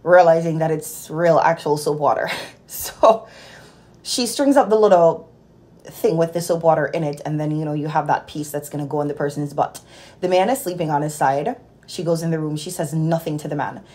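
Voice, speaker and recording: 20s woman from jamaica venting about work, animated, low-ish voice, phone held out at arm's length. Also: moderate pace. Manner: animated, exasperated storytelling. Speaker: nurse vlogger. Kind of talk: casual storytime vlog. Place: small indoor room at home.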